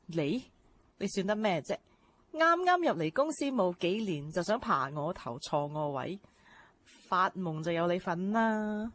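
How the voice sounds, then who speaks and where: A woman's voice: lowish voice, lively intonation, a little slow. A woman with a Hong Kong Cantonese accent playing an arrogant, scornful, ambitious right-hand to a boss in a radio drama. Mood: boastful, scornful. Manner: haughty, scornful.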